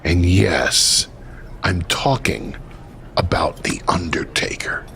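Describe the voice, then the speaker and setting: An actor playing a sly, grandiose retired wrestling manager in a video game, with ominous, theatrical delivery and deliberate dramatic pauses. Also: male voice, deep voice, gravelly, pushed and bright, slight background noise, heavily acted. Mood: grave, ominous. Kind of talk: video game cutscene dialogue.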